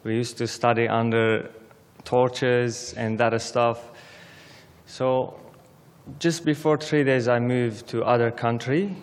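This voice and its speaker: Young man, unhurried testimony in a reverberant church. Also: mid-range voice, fairly even intonation. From Syria. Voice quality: halting non-native English, simple phrasing. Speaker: guest speaker. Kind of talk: personal testimony speech.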